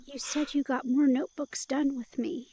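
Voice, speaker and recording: A woman's voice: high-pitched, steady tone, normal speed, warm tone, dry recording, lightly acted. A woman playing an eager, playful, stubborn young woman in an intimate audio drama, perky and insistent. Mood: confused.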